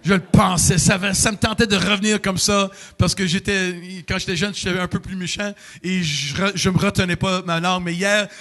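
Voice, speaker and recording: A middle-aged man, preaching a sermon in a church with emotional, animated delivery and sudden outbursts. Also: high voice, moderate pace, hardly any pauses. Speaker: pastor.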